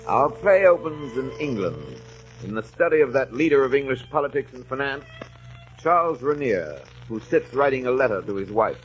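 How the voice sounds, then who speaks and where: A man's voice: medium pitch, expressive, normal speed, faint music behind. A man reading a scripted introduction as a 1940s radio drama presenter. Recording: muffled, noisy 1940s radio recording.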